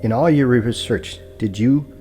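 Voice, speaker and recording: An actor playing an unflappable, formal property broker in an audio drama, polite and precise, with a stutter on hard consonants. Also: male voice, medium pitch, unhurried, dark tone, soft background music, lightly acted. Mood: quietly firm.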